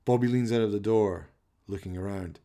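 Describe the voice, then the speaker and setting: A man with a gravelly voice narrating a dramatic scripted radio play. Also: lowish voice, brisk, warm tone.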